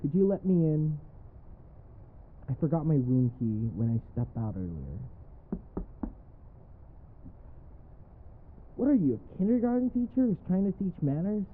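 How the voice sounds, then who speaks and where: Man acting a cocky, flirty office rival, swinging pitch. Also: very animated, phone-quality sound, slight background noise. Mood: tired, exasperated.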